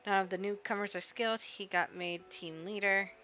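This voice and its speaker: A woman streaming a game, casually commenting and reading text aloud in a relaxed, murmuring way. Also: mid-range voice, moderate pace, muffled recording.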